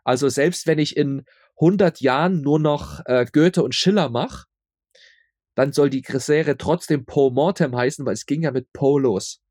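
A man's voice: on the high side, moderate pace, darkish tone, no echo, clean studio sound. A man speaking as a guest in a casual interview, rambling and often repeating his words as he explains his audio drama work.

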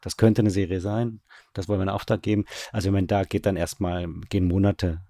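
A man's voice: low voice, flat delivery, quick pace, warm tone, no echo. A man who directs radio drama, answering interview questions in a casual, conversational way.

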